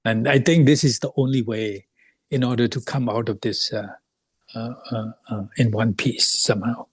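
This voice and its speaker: A man speaking in a panel talk, hesitant and halting. He stops and restarts as he searches for words. His voice sits mid-range and sounds dry and close. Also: mid-range voice, fairly even intonation, slightly rough, no room sound. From Burma, raised in Thailand. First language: Thai. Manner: hesitant, many fillers and restarts. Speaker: artist.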